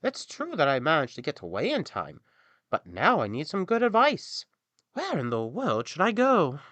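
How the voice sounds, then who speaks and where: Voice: mid-range voice, wildly swooping pitch, normal speed, fairly bright tone, dry recording, voice pushed up. A man voicing a gloomy, indignant aging cat in a storybook tale, complaining as it talks. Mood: gloomy, aggrieved. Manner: complaining, aggrieved.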